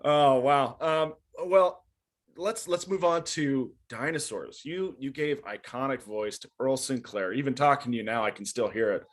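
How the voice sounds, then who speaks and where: A man's voice: mid-range voice, normal speed. A young man hosting an interview, asking prepared questions in a friendly way. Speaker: interviewer, host.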